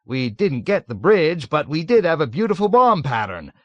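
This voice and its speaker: A man's voice: on the high side, sing-song, normal speed, no echo, dull audio, pitched above the performer's own voice. A man doing a blustering, fawning colonel. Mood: gushing. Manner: loud, gushing, eager to please. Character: jovial, fawning, nervous middle-aged male colonel.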